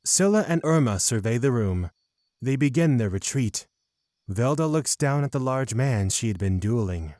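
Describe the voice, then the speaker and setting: A man's voice: medium pitch, lively intonation, moderate pace, a little mellow, no echo. Man, fantasy audio drama narration, mid-range voice, very dry recording.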